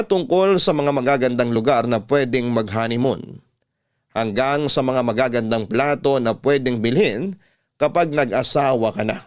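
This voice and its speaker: A man's voice: mid-range voice, clear, resonant tone. Casting: Filipino male storyteller, mid-range voice, classic radio narration of a personal story.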